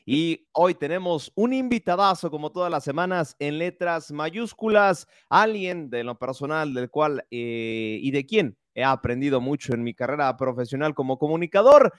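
A young man hosts a casual podcast interview in a slightly high voice, chatty and friendly, often pausing on hesitation sounds. The sound is close and dry. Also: expressive, no echo. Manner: warm, chatty, frequent hesitations. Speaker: podcast host.

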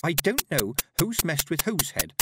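Man voicing a plucky, high-pitched young witch girl. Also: wildly swooping pitch, moderate pace, very noisy. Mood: snappish. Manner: firm, emphatic, determined.

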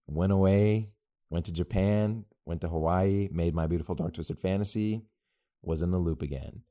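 A young man riffing casually on a podcast, rambling from one aside to the next. His voice sits low, with a dark, mellow tone. He jokes around as he talks. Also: normal speed. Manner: rambling, jokey, animated asides. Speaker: podcast co-host.

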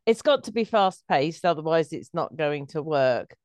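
A woman chats casually as a podcast guest. Her voice is on the low side, recorded very dry. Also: expressive, moderate pace, a little mellow, dry recording. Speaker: guest reviewer, performer. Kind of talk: casual chat about a play.

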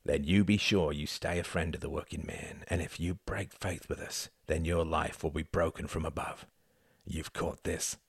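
A man voicing a huge, menacing, foul-mouthed working man with a gruff, deliberate manner, in a story reading. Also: low-pitched, very animated, a bit bright, no room sound, clean studio sound, exaggerated voice. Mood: quiet menace.

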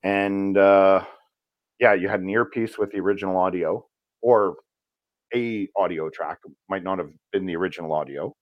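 A man who works as a voice actor, talking casually in an interview and telling stories in a relaxed, rambling way with fillers. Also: low voice, normal speed, no room sound, dull audio. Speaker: voice actor guest.

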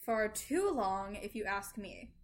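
A woman's voice: mid-range voice, lively intonation, slow, a little hiss or hum. A woman playing a haughty, dismissive male French sugar planter who co-hosts a history roleplay podcast. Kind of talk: amateur scripted roleplay.

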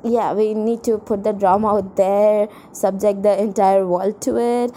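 A woman's voice: mid-range voice, fairly even intonation, a little slow, mellow, slight background noise. A young adult woman with an Indian accent, co-hosting a podcast in a casual, rambling way, with a self-mocking, dramatic, irreverent manner. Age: young adult, college student. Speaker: podcast co-host.

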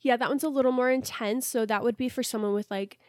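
A woman's voice: a little high, quick pace, dry recording, studio quality. Stuffy-nosed young woman chatting. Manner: chatty, relaxed, rambling with fillers. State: stuffy, congested. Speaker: podcast co-host, esthetician. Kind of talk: casual chat.

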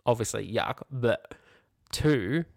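Australian podcaster, mid-pitched, dry close recording. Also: animated, slow pace, creaky, no echo. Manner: mocking, incredulous commentary. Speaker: podcast co-host; junior primary school teacher. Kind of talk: casual comedic chat, reading letters aloud.

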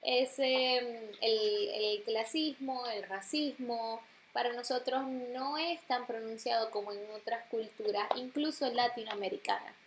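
A young Venezuelan woman co-hosting a podcast, chatting in a lively, slangy way and swearing freely. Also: fairly high pitch, unhurried, hardly any pauses, slight background noise, rough recording. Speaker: podcast co-host. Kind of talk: casual chat.

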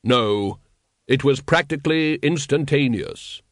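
A man voicing Death as a stately skeleton, calm, grave and measured, in an audiobook. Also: medium pitch, no echo, studio quality, lightly acted. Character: Death, stately skeletal male reaper, calm and matter-of-fact. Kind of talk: audiobook character acting.

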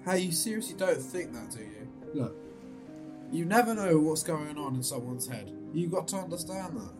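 A man's voice: on the high side, animated, moderate pace, music in the background, close to an everyday voice. A young man acting a cautious, reluctant, hesitant friend in a radio drama. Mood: soothing, weary.

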